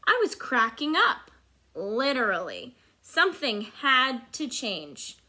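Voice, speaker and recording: A woman voicing a dutiful, overburdened good egg in a children's storybook, with earnest, dramatic, drawn-out emphasis. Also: fairly high pitch, big pitch swings, bright, forceful tone, full character voice. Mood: fed up.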